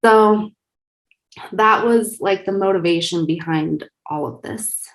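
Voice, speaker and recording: A young woman from Taiwan chats as an interview guest. She tells her story in a lively, casual flow, dotted with fillers. Her pitch sits in the ordinary middle range for a woman. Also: slow, slight background noise, low-quality recording. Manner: lively storyteller, many likes and you knows.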